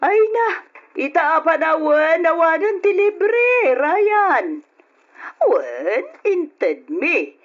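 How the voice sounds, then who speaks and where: Actress for sharp-tongued, stingy aunt, very animated pitch, Ilocano Filipino accent, radio drama, dull lo-fi recording. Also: female voice, extremely slow, heavily acted. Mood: stern, indignant. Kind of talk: old-style Ilocano radio drama acting.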